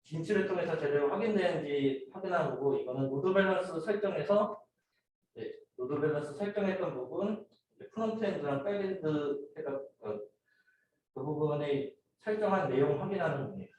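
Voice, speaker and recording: A man giving an informal, somewhat halting student project presentation, explaining slides and a demo step by step. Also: on the high side, animated, darkish tone. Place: indoor room, voice picked up from a distance. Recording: very echoey room, distant mic, noisy.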